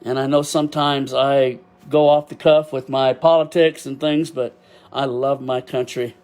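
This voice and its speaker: A man's voice: mid-range voice, flat delivery, normal speed. Older Texan man, podcast host, rambling heartfelt talk with laughs, webcam mic. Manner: emotional, rambling, laughs often; loud at times, deaf and can't hear himself. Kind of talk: casual solo podcast talk. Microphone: built-in webcam microphone.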